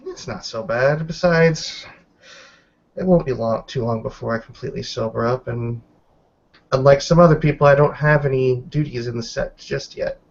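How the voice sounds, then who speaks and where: A man's voice: mid-range voice, normal speed, creaky, lo-fi sound. A man playing a tipsy, cocky young female werewolf in a tabletop game, with a wry, teasing manner.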